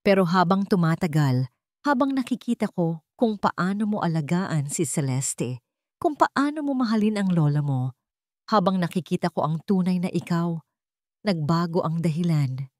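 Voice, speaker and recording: A woman voicing an earnest, tender young man in love, speaking gently in a storybook romance. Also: on the low side, expressive, normal speed, no room sound, clean studio sound, lightly acted.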